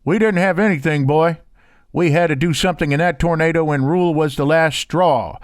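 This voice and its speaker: A man's voice: on the high side, a little fast, no room sound, clean studio sound, natural voice. A man voicing a stubborn, anxious older rural father in an audiobook, with folksy, insistent speech. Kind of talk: audiobook character dialogue.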